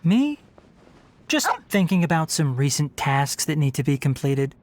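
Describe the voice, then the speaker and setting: A young fox-eared forest ranger from a game, pitched low and swinging expressively through each phrase. The delivery is scholarly and articulate, like a teacher with a dry, teasing streak, over slight background noise. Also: female voice, big pitch swings, unhurried, darkish tone. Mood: casual, teasing. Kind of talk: scripted game character voice lines.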